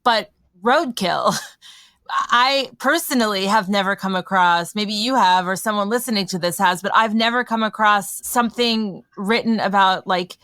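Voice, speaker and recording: A woman podcast host musing aloud in an earnest, animated conversational way. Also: mid-range voice, normal speed, no room sound.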